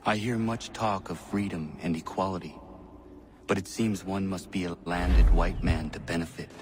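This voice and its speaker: Man playing a deep-voiced, solemn young warrior. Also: steady tone, background noise, natural voice. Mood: brooding, reflective. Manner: formal, deliberate phrasing without contractions. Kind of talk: dramatic game cutscene acting and narration.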